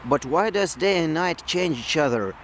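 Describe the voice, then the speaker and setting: A man speaking English as a second language, explaining a science topic slowly with frequent pauses and fillers. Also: a little high, animated, fairly bright tone, slight background noise. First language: not English. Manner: slow, hesitant, with frequent fillers. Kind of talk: unscripted educational explanation.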